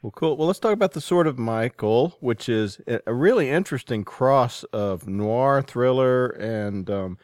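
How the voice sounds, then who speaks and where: A man's voice: mid-range voice, expressive, a little slow, few pauses, darkish tone, no room sound, clean studio sound. A man hosting a podcast, giving introductions and putting questions to a guest. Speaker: podcast host and interviewer.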